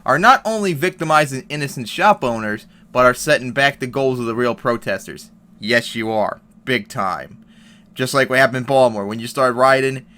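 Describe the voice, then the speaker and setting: A man's voice: medium pitch, pushed and bright. A man giving heated, profane commentary on an article and news clips, reading passages aloud and reacting. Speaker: commentary vlogger.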